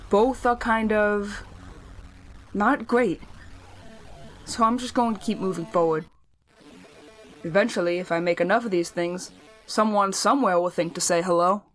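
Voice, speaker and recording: A woman's voice: medium pitch, a little hiss or hum, close to an everyday voice, low-quality recording. A woman playing a lone, persistent survivor in an audio drama, talking to herself in a matter-of-fact, self-deprecating way. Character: lone, persistent woman setting up radio stations.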